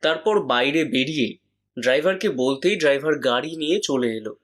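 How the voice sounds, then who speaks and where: A man's voice: a little high, fairly quick, a bit bright. A man narrating a scripted detective story as its reader.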